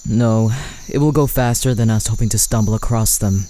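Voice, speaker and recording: A man playing a cautious, practical husband and father in a fantasy audio drama, measured and questioning. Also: lowish voice, dark tone, slight background noise, close to an everyday voice.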